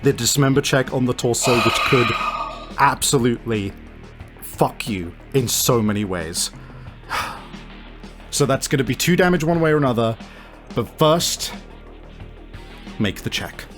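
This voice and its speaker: A man's voice: mid-range voice, lively intonation, a little slow, long pauses, a bit bright. A man running a tabletop game as game master, narrating in casual, profane language. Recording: music under the voice. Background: background music.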